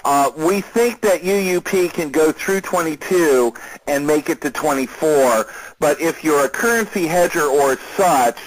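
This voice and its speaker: Man giving measured stock market commentary. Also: fairly high pitch, rough recording. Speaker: market analyst hosting a client call. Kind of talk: informal market commentary on a conference call.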